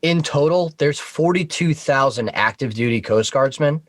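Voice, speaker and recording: A man's voice: a little high, moderate pace, dry recording. A man talking casually as a talk show guest, explaining things frankly and with some swearing. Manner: frank, profane. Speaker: guest on a talk show, Coast Guard member.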